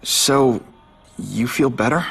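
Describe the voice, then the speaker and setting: A man's voice: mid-range voice, slow pace, creaky, breathy edge, heavily acted. An actor playing an earnest young male superhero in a video game, guilt-ridden yet wisecracking. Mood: guilty, subdued. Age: young adult. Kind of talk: dramatic game voice acting. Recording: loud music behind.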